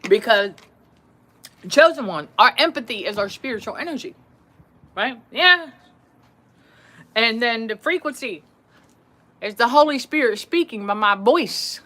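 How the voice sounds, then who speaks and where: Bright-voiced woman talking to her viewers. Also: a little high, unhurried, long pauses. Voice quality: voice that carries. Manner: animated, repeats phrases, laughs often, addresses viewers as y'all. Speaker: livestream host. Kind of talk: casual livestream talk to viewers.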